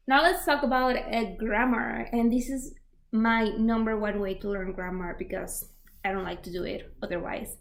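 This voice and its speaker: A woman's voice: fairly high pitch, steady tone, normal speed, creaky, echoey room, slight background noise. A young woman, a native Spanish speaker with a Spanish accent, casually explaining language learning tips with lots of fillers and examples. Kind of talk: casual instructional talk.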